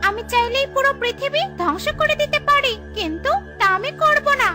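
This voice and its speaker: A high, piercing cartoon witch queen, played by a woman, who boasts of ruling the world and menaces any intruder. Her voice sits far above a normal speaking voice. Light children's music plays under her lines. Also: lively intonation, a little fast, bright, forceful tone, full character voice. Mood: boastful. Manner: grandiose, threatening. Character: boastful power-hungry evil witch queen.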